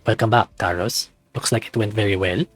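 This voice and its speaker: Man voicing a soft, low, placating creature scientist. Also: fairly even intonation, brisk, no echo, faint music behind, natural voice. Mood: warm, teasing. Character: soft-spoken, placating male scientist creature, secretive, flattering.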